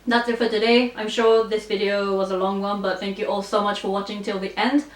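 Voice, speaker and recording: A woman's voice: medium pitch, flat delivery, a little fast. A young adult woman from Japan, a native Japanese speaker, chatting casually to camera as a vlogger with a playful, self-deprecating manner. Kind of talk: casual chat to camera, answering viewer comments. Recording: roomy, distant mic, faint background noise.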